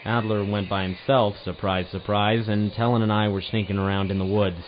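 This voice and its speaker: A man's voice: on the low side, little pitch movement, a little fast, close to an everyday voice. A man playing a hard-boiled, world-weary private eye in an audio drama, narrating in a wry noir style. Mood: tense, matter-of-fact. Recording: dull lo-fi home recording, background hiss.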